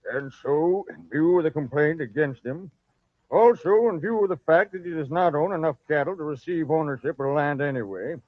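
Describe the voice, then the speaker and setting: Actor as formal, stern official, fairly high voice, tinny vintage radio recording. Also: male voice, dry recording, close to an everyday voice. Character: stern formal council official reading a verdict. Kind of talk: old-time radio drama acting.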